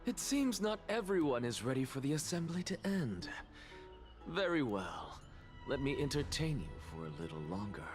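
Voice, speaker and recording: A man's voice: mid-range voice, sing-song, moderate pace, faint music behind, natural voice. Theatrical, arrogant scholar played by a man, sweeping intonation. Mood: grandly challenging. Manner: theatrical, mocking, rhetorical. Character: theatrical, arrogant male scholar, provocative and self-assured. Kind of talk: dramatic video game voice acting.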